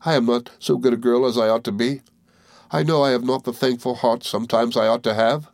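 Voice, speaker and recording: A man's voice: mid-range voice, steady tone, brisk, dry recording, clean studio sound, voice pushed up, close to an everyday voice. A man voicing a tearful young woman in a storybook, agonised, repetitive and full of self-reproach as she pleads. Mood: sobbing self-reproach.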